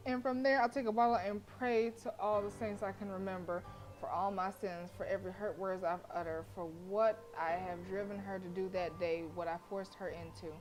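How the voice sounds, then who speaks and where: A woman game streamer reading story narration aloud in an expressive, dramatic way, with casual delivery between passages. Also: mid-range voice, moderate pace. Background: game music.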